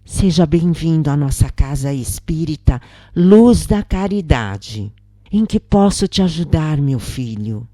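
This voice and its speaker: A kindly, assured older mother consoles in a spiritual story, read by a woman. The voice is low and mellow, unhurried, with a dry close sound. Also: lively intonation, no room sound. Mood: welcoming. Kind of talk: dramatised first-person story reading.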